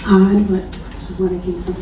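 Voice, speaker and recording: Woman with a dark, flat voice. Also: medium pitch, normal speed, slight background noise. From New Lebanon, New York. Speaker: public commenter. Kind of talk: reading a prepared public comment. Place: town hall meeting room. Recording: echoey distant lo-fi hall recording.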